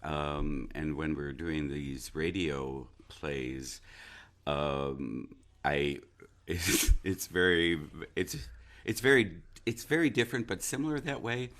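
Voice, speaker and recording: Very deep-voiced, unhurried American man. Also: fairly bright tone. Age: middle-aged. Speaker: stage actor, interview guest. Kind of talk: casual interview conversation.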